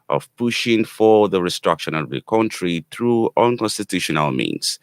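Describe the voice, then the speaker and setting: A man reading radio news. His voice is very deep and the delivery formal and measured. The sound is close and dry. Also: a bit bright, no echo. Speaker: newsreader. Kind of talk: radio newsreading.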